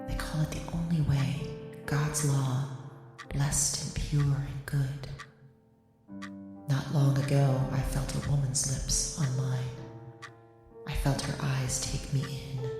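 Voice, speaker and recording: A woman voicing a young wife trapped in a forced marriage, speaking in a solemn, measured, intense way. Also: very deep voice, heavily acted. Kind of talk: audio drama monologue. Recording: heavy hall reverb with music underneath.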